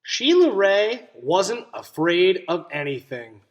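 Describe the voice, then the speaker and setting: A man's voice: high voice, big pitch swings, slow pace, lo-fi sound. A man teaching young children through a picture book read-aloud, explaining simply and checking in with questions.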